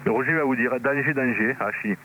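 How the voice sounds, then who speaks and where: A man's voice: medium pitch, fairly quick, bright, forceful tone. Chatty man on a humming radio link. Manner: repetitive, chatty, peppered with verbal tags. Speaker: amateur radio operator. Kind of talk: casual radio chat. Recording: noisy two-way radio with hum.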